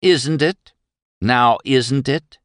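A man's voice: mid-range voice, drawn-out words, a bit bright, no echo, studio quality, pitched above the performer's own voice. A man voicing a spirited young woman who teases her father and then presses her point fervently. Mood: insistent. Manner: teasing, then fervent and insistent.

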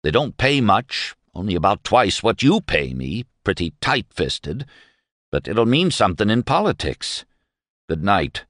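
Male narrator doing a coarse, mocking hired man with a drawl, loud and lively. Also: on the low side, slow pace, pauses often, no room sound, studio quality, pitched above the performer's own voice. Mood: derisive. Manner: drawling, insolent. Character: surly rough hired man, derisive.

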